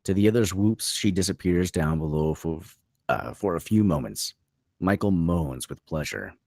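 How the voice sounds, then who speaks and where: A man's voice: low voice, moderate pace, darkish tone, no room sound, clean studio sound. A man narrating stage directions as scripted narration at a table read.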